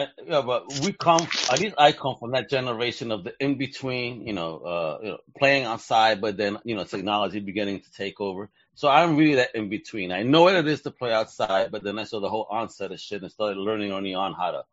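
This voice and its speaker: A man's voice: fairly low pitch, fairly quick, few pauses, dry recording. A middle-aged man hosting a podcast in casual, slangy, hyped-up chat, sprinkling in Spanish greetings. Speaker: podcast host. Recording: dull lo-fi sound.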